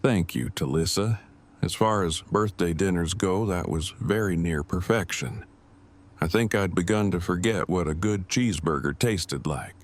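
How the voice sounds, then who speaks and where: Guy acting as a deep voiced nerdy formal man in his 30s, super wordy and precise, audio drama. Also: moderate pace. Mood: content, affectionate. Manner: wordy, formal, pedantic phrasing. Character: verbose, precise, formal man of thirty-six, devoted partner.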